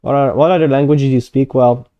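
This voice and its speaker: A man's voice: medium pitch, steady tone, brisk, dry recording, highs cut off. A young Hungarian man chatting casually on a live stream, thinking aloud with many fillers and self-corrections as he plans a constructed language. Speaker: conlang streamer.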